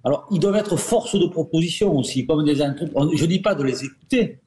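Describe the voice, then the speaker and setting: A man's voice: on the high side, brisk, a little mellow, roomy sound. A man with a Marseille accent speaking as a football pundit in a radio debate, making his points emphatically and repeating them.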